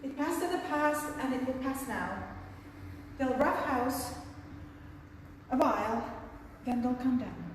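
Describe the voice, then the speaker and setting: An actress playing a middle-aged mother and teacher who reassures gently. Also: high voice, expressive, takes it slowly, pauses often, a little hiss or hum. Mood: soothing. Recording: echoey hall from far off.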